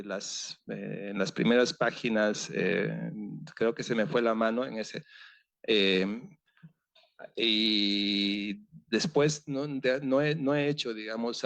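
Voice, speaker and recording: A man with a fairly low voice speaks at a literary panel, picking his way through ideas with pauses, fillers and restarts. The sound is close and dry. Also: vocal fry, no echo. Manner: halting, thinking aloud with many fillers and restarts. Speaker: novelist on a seminar panel. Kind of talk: panel discussion.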